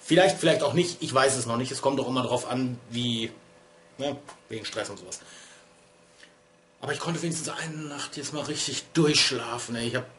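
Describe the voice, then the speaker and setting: A man's voice: medium pitch, moderate pace, a bit bright, a little hiss or hum. Chatty German-speaking man, slightly stuffy. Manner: chatty, digressive, self-deprecating. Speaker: vlogger and streamer. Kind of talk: casual rambling vlog talk. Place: small room at home, lit by LED strips.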